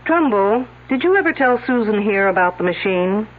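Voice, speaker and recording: A woman plays a confident detective in a vintage radio mystery who needles everyone with sardonic quips. Her delivery is lively and bounces up and down in pitch. It sounds thin and tinny, like an old radio broadcast. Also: moderate pace, close to an everyday voice. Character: wisecracking, confident female detective.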